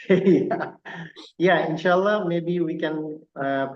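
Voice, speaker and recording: A man's voice: on the high side, slow, echoey room. Man with dull call audio. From Bangladesh. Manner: hesitant, many fillers, formal and courteous. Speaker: co-host, visiting assistant professor. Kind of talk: closing remarks at an online lecture.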